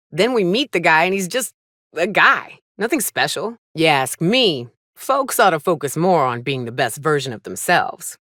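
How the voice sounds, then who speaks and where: A woman voicing a gruff, tough but caring frontier monster hunter in a video game, with wry, folksy, blunt delivery. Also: low voice, fairly bright tone, dry recording, clean studio sound. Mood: thoughtful. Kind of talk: video game character dialogue.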